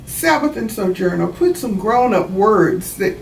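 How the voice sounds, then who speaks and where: A woman reads and explains a storybook for children in a teacherly manner. Her pace is slow and deliberate, and the recording is roomy and a little distant, with faint noise behind her. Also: medium pitch, animated, creaky. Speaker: author reading own book.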